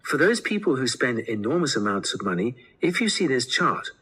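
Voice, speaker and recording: A man's voice: mid-range voice, very animated, normal speed, bright, forceful tone, husky, heavily acted. A man playing an earnest college student explaining survey findings in a scripted listening-test dialogue. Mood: earnest, informative.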